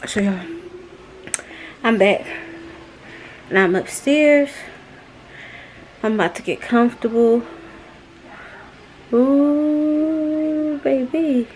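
A woman's voice: sits high, very slow, long pauses, darkish tone, a little hiss or hum, low-quality recording. A young adult woman chatting casually to camera in slangy, playful speech, recorded indoors on a handheld camera. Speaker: vlogger. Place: indoors, nail salon and home rooms. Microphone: handheld camera at arm's length.

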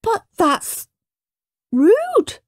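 A woman as a prim, plaintive little boy, very high. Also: wildly swooping pitch, extremely slow, dry recording, studio quality, heavily acted. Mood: doubtful. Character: earnest, whiny, well-behaved little boy.

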